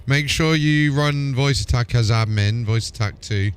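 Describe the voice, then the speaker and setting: A man's voice: medium pitch, unhurried, clear, resonant tone. A man chatting casually on a game livestream, giving informal step-by-step tech help. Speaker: game streamer.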